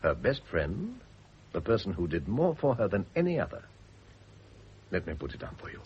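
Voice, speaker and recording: Courteous, evasive gentleman, low-pitched, lively intonation, wry, classic radio drama, dull old recording. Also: moderate pace, a little hiss or hum. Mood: gentle, coaxing. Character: urbane, evasive widower, dryly deflecting a woman's advances.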